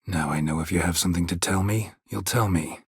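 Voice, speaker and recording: An actor playing a gruff, weary, stoic monster hunter in a video game, a gravelly voice and a terse, deadpan delivery. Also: male voice, a little fast, no echo, exaggerated voice. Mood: dry, terse. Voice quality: husky, gravelly low voice. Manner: terse, clipped, deadpan, dry. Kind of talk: video game character dialogue.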